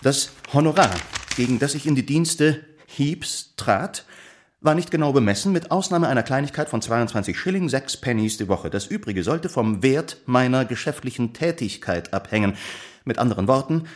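Actor as pompous, swooping, grandiloquent gentleman accuser. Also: medium pitch, animated, moderate pace, natural voice. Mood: pompous indignation. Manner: florid, declamatory, pompous. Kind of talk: theatrical radio play declamation.

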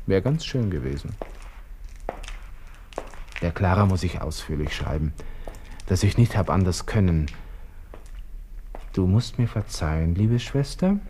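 An actor playing a young, vain, agitated army lieutenant with a Viennese accent, muttering to himself in a radio drama monologue. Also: male voice, deep voice, dark tone, slight background noise. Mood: wry brooding, then sorrowful, tender. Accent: Austrian, Viennese. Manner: muttering to himself, shifting abruptly from musing to exclaiming.

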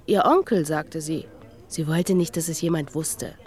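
An actress playing a sharp-tongued, world-wise former bar waitress in a German radio drama, sardonic and knowing. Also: female voice, deep voice, a little slow, soft background music. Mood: recalling.

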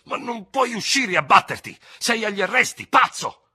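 A man's voice: mid-range voice, huge pitch swings, fairly quick, a bit bright, slightly rough, pitched above the performer's own voice, heavily acted. A man voicing a level-headed Norman cavalry lieutenant in an audiobook story, disdainful and exasperated but self-controlled. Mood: scornful, exasperated.

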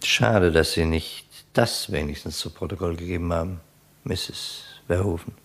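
A persistent police detective in a crime radio play. His voice sits low, and he questions in a dry, sceptical, conversational manner. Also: fairly even intonation, normal speed. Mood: probing, controlled. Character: male police detective, matter-of-fact, persistent, dryly sceptical.

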